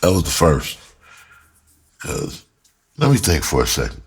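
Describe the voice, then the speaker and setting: A man's voice: extremely low pitch, very animated, a little slow, breathy edge. A middle-aged American man telling a personal story casually and candidly, in a rambling, slangy way with explicit language. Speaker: storyteller, writer and music producer.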